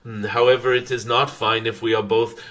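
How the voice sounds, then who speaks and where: A man channeling a wise, benevolent dragon spirit in a spiritual message, speaking in formal, measured phrases broken by thoughtful hums. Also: mid-range voice, little pitch movement, fairly bright tone, close to an everyday voice. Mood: calm, instructive. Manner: formal, archaic-tinged phrasing. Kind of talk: channeled spiritual message, slow unscripted address to listeners.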